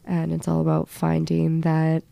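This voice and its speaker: A woman in a relaxed interview, talking through her songwriting in a loose, rambling way. Her voice sits a little low with a gravelly fry, and the sound is close and dry. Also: measured pace, no room sound. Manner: rambling, reflective, many fillers. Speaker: band guitarist and songwriter. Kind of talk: casual interview chat.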